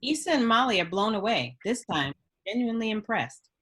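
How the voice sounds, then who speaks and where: A woman's voice: mid-range voice, very animated, brisk, fairly bright tone, husky. A woman reading screenplay stage directions as a narrator at a script table read.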